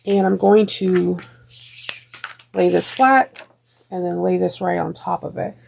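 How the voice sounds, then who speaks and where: A woman hosting a sewing tutorial, chatting through each step with frequent ums and restarts, her voice slightly deeper and congested from a sinus infection. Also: fairly even intonation, unhurried, gravelly, darkish tone, slight background noise.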